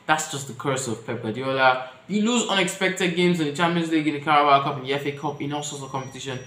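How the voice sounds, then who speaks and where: A man chats through his opinions in a casual vlog and talks straight to his viewers. His voice sits a little higher than most men's. The sound bounces around an echoey room. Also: fast. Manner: chatty, addresses viewers directly, shifts pitch and loudness often. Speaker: football vlogger.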